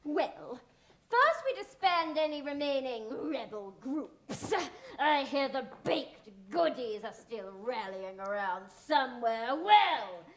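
A woman's voice: sits high, big pitch swings, slow, a bit bright, full character voice, rough recording. A woman playing a scheming, haughty villainess who acts like a mother, in a theatrical pantomime style on stage. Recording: theatre stage recording with music.

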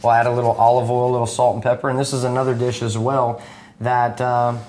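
A man's voice: medium pitch, little pitch movement, fast, a little mellow, a little hiss or hum. A man in his thirties, a chef, casually explaining his food as a guest in a cooking demonstration. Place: indoor studio kitchen. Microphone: lapel mic.

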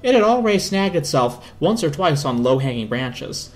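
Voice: mid-range voice, sing-song, normal speed. A narrator giving a dramatic reading of a storybook. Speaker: narrator, reader.

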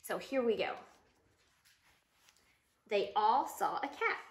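A woman's voice: fairly high pitch, expressive, slow. A woman in her thirties reads a children's picture book aloud expressively, explaining it and putting questions to children. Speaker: storytime reader, mother. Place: living room couch at home, indoors. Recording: roomy echo of a living room.

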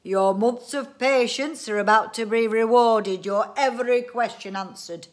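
A woman voicing a grandiose, excitable amateur inventor, a family man, in a booming, theatrical storybook manner. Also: a little slow. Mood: grand, proud. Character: excitable, grandiose, eccentric male amateur inventor, husband and father.

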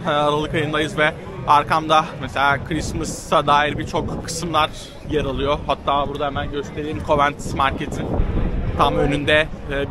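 A man in his thirties from Turkey, casually narrating a walking travel vlog with many drawn-out fillers, filmed at arm's length in a busy market. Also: a little high. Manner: hesitant, many drawn-out fillers, steady and informal. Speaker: travel vlogger. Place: busy covered market and rainy square outdoors. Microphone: handheld camera at arm's length. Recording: noisy busy street ambience. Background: crowd chatter and city ambience.

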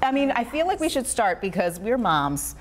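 A woman in her thirties hosting a chatty morning-show interview, effusive and quick to exclaim. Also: fairly high pitch, sing-song. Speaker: TV host. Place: TV studio kitchen set, indoors.

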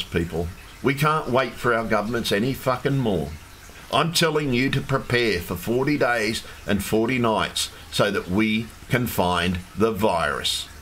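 A man, hoarse and losing his voice, ranting in an agitated, repetitive livestream monologue with some swearing. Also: medium pitch, pushed and bright, rough recording. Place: home room with fish tank. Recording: faint background hum from fish tank.

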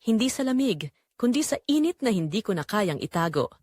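A woman's voice: mid-range voice, very animated, a little fast, darkish tone, no room sound, studio quality. A woman narrating a scripted first-person dramatic story.

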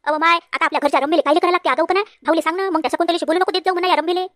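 A woman's voice: extremely high pitch, fairly even intonation, racing, a bit bright, no echo. A woman voicing a bossy married daughter in a comedy cartoon, scolding in rural Varhadi Marathi from Vidarbha. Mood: indignant. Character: bossy married daughter, fussing over her parents, quick to scold.

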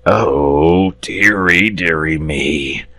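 A man reading a story, voicing a gentle, cowardly male dragon with a slow, polite drawl. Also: extremely low pitch, lively intonation, no echo, exaggerated voice. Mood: moaning.

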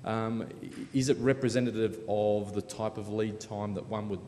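A man's voice: fairly low pitch, fairly even intonation, moderate pace, dark tone. A man moderating a panel, putting questions in a casual way. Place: large hall panel event with audience. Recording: echoey hall, faint ambient noise.

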